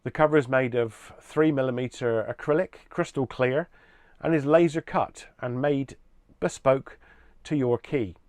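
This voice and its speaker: A man's voice: mid-range voice, a little slow, darkish tone, no room sound. Dry, close-recorded man presenting products.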